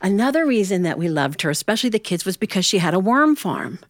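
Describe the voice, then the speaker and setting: An American woman telling a humorous personal tale as a storyteller, in a folksy, animated manner with dramatic pauses. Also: medium pitch, no room sound, clean studio sound. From Ohio, United States.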